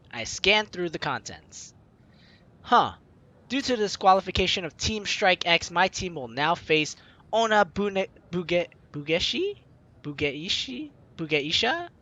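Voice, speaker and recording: A man's voice: fairly high pitch, pushed and bright, no room sound, lo-fi sound. A young man hosting a let's play, reading game text aloud with informal, jokey asides. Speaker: host of a gaming let's play series. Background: game music.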